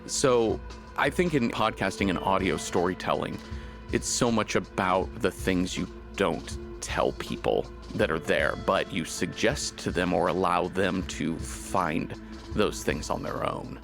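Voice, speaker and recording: A man's voice: low voice, measured pace, vocal fry, over music. A man speaking reflectively in an interview as a writer and creator.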